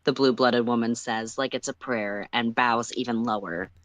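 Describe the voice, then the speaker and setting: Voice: mid-range voice, fairly even intonation, normal speed, fairly bright tone, no room sound. A person reading a story aloud as narrator, in second-person prose on a livestream.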